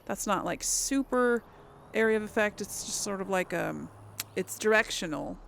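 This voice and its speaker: A woman's voice: medium pitch, moderate pace, gravelly, fairly bright tone, a little hiss or hum. A woman giving casual gaming commentary, thinking aloud in a hesitant, musing way and often trailing off. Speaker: let's player hosting a role-play playthrough.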